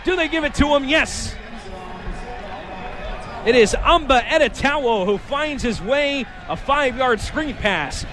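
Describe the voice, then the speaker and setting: Casting: American male radio play-by-play announcer, high-pitched voice, calling live action. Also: lively intonation, unhurried, long pauses, a bit bright, some chatter behind. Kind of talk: live sports commentary.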